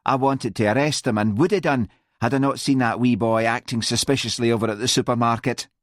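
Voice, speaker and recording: A man's voice: medium pitch, steady tone, fairly quick, dry recording, clean studio sound, pitched above the performer's own voice, natural voice. A man voicing a sour, contemptuous policewoman in an audiobook, with a whining, sing-song Scottish accent. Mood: self-righteous.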